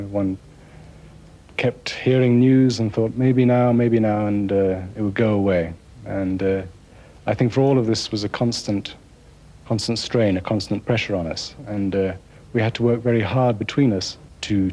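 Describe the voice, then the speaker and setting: Older male interviewee, low dark tone, halting delivery full of pauses. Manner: halting, many hesitations. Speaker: released hostage. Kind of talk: press interview.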